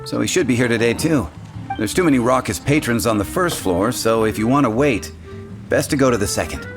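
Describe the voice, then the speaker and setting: An actor playing a dry, courteous tavern bartender in a video game. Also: male voice, medium pitch, lively intonation, brisk, natural voice. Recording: echoey, music behind.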